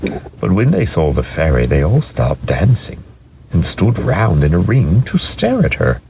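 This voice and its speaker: A man narrating a children's story as a dramatic reading, expressive and measured, with deliberate pauses. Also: sits low, vocal fry, a little hiss or hum, poor audio.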